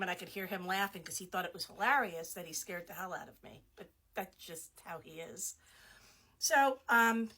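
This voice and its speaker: Hoarse chatty middle-aged woman. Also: mid-range voice, pushed and bright. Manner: chatty, laughs often, many fillers. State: losing her voice, hoarse. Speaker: small shop owner. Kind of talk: casual product showcase. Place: her shop office.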